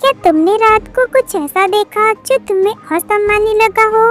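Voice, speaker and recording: A woman's voice: very high-pitched, moderate pace, a bit bright. A woman voicing an earnest, determined young bird detective in a cartoon story. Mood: earnest, curious. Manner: earnest, questioning. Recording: music behind the voice.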